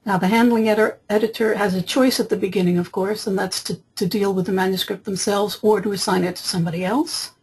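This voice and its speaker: A woman software trainer narrating a screen tutorial in an explanatory, step-by-step way, with a husky voice. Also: mid-range voice, steady tone, fast, hardly any pauses. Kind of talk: screen-capture tutorial narration, semi-improvised. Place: voice-over for screen recording.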